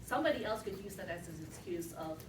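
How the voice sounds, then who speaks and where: A woman leading a team meeting, giving an animated motivational talk that keeps checking in with "right?". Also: mid-range voice, quick pace. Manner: animated, often asks "right?". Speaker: team leader. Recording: echoey room from far off, noisy.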